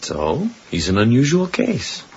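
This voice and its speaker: An actor playing a skeptical, unimpressed psychiatrist with a dismissive, matter-of-fact manner in a radio drama. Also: male voice, medium pitch, wildly swooping pitch, measured pace, vocal fry, darkish tone, full character voice. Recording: dull, noisy 1980s radio broadcast.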